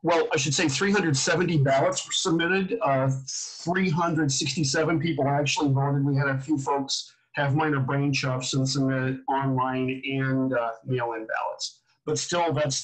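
A man who serves as the association secretary reports vote counts to an online town hall. His voice sits in the middle range, and he speaks haltingly, with fillers. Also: mid-range voice.

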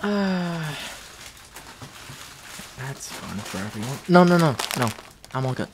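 Male actor for bashful young college man with a crush, roleplay audio. Unhurried, halting delivery, very animated pitch. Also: noisy background, exaggerated voice. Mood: flustered. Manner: halting, flustered stammering with nervous laughs.

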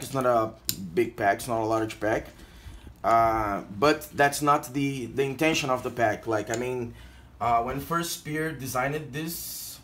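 A man's voice: medium pitch, a little hiss or hum. A man from Brazil with a Brazilian accent, reviewing gear casually and hesitantly, with lots of fillers. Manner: hesitant, heavy use of fillers. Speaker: product reviewer. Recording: echoey room sound.

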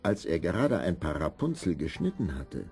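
A man narrating a scripted fairy tale in a measured, expressive storytelling manner. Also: rumbling low, vocal fry, soft background music.

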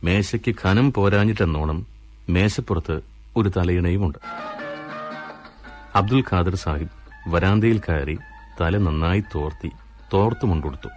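A man narrating a radio story in a measured, scripted storytelling delivery. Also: low voice, a little mellow, soft background music.

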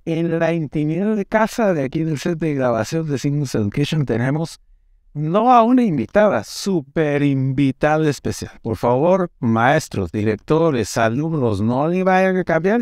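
A man hosting a studio interview in an animated, emphatic way. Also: a little high, dry recording. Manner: animated, emphatic, lots of gestures. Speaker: host. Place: studio set with classroom backdrop. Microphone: lapel mic.

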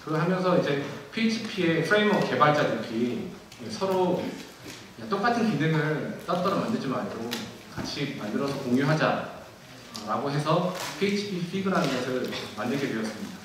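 A young man giving a technical keynote at a conference, speaking in a measured way with frequent fillers and restarts. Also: a little high, very animated, noisy background. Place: large dark auditorium. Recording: echoey hall from far off.

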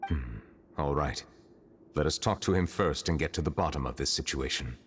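Solemn game judge, very low voice, formal, composed, reverberant. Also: male voice, moderate pace, fairly bright tone, slight background noise. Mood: composed, stern. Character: calm, dignified, stern male chief justice. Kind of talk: video game voice acting.

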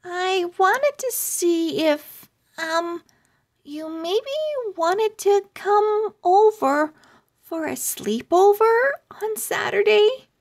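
A woman's voice: very high-pitched, animated, very slow, pitched above the performer's own voice, heavily acted. A woman voicing a shy, earnest young girl owl in a storybook, with a hesitant manner. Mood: shy, hopeful.

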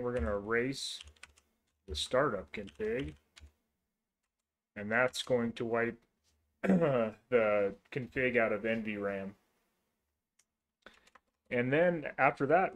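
Man, relaxed tutorial host, mid pitch, keyboard clicks behind, casual. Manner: relaxed, many ums and restarts, narrates while typing. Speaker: host of a networking tutorial channel. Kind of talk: casual screen-recorded tech tutorial.

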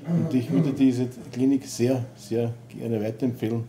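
A middle-aged man from Lower Austria talking plainly as a long-time patient. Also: steady tone, a little slow, crowd noise. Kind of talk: interview testimonial. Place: office, indoors.

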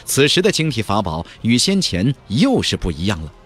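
A man reads fantasy fiction as a dramatic audiobook narrator. His middle-pitched voice is husky and moves through very wide intonation. Faint music runs behind him. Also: huge pitch swings, normal speed.

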